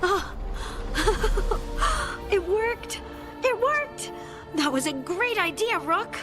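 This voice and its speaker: A woman's voice: extremely high pitch, sing-song, very slow, heavily acted. A woman playing an earnest, awkward, cheerful young scout with magic in a video game, bashful and a little hesitant. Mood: delighted. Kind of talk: naturalistic video game voice acting. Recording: game music behind the voice. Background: game music.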